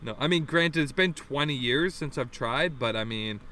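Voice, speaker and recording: A man's voice: medium pitch, animated, normal speed, pushed and bright, slight background noise. A man in his thirties from the Toronto area with a Canadian drawl touched by Newfoundland, co-hosting a crude, laughing podcast chat. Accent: Canadian with a Newfoundland-tinged drawl. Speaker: podcast co-host. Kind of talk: casual chat.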